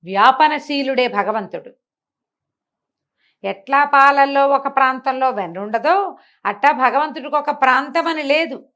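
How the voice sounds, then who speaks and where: A woman's voice: high-pitched, unhurried, long pauses, a bit bright. A woman telling a moral folk tale aloud in a conversational, explanatory way, with acted dialogue and rhetorical questions. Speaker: storyteller. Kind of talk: animated oral storytelling of a moral folk tale.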